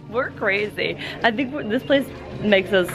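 A woman's voice: mid-range voice, expressive, unhurried, crowd noise. A woman in her twenties chatting casually to a handheld camera at arm's length as she vlogs her day. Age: 20s. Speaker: daily vlogger. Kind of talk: casual vlog chat to camera. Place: handheld vlogging in streets, shops and rooms at home. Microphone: camera held at arm's length. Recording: clear music behind the voice.

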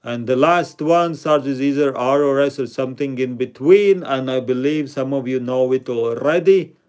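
A man's voice: mid-range voice, normal speed, a little mellow. A man, chatting playfully with viewers while he plays a word game on a live stream at home. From Latvia. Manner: playful, self-mocking, reads out chat names and rankings. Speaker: livestream host. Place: indoor room at home.

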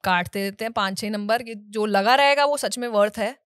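A woman's voice: medium pitch, normal speed, fairly bright tone, dry recording, studio quality. A young woman from India, a comedian telling stories in a casual podcast chat, wry and breezy, mixing in English. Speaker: guest comedian. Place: small indoor podcast studio. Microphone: studio mic on boom arm.

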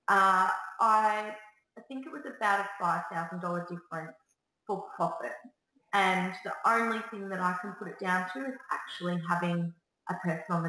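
A woman's voice: medium pitch, sharp tone. A woman in her thirties, a salon owner, giving chatty, upbeat business tips straight to a webcam in a small salon room. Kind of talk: direct-to-camera business advice. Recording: echoey room.

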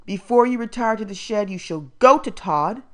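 A woman's voice: medium pitch, animated, normal speed, a bit bright, pitched above the performer's own voice, lightly acted. A woman voicing a stern, haughty foster mother who scolds in a pompous, formal way, in an audiobook.

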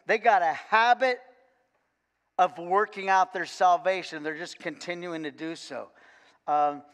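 An older man preaching a sermon in a folksy, animated way, full of repeated words and "okay?" asides. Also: high-pitched, unhurried. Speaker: pastor. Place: large church sanctuary, indoors. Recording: long reverb of a church hall.

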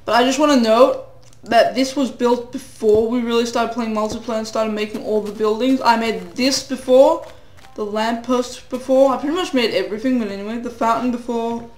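A man's voice: very high voice, steady tone, moderate pace, reverberant. A teenage boy with a cold giving rambling, self-deprecating commentary while playing a game. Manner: rambling, self-deprecating, sing-song asides. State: a cold, voice getting hoarse. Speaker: gamer.

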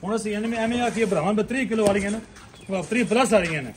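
Plain-spoken farm owner. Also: male voice, high-pitched, fast, a crowd in the background. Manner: matter-of-fact, explains breed and price. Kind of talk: casual chat about his cows. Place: outdoor cattle farm yard.

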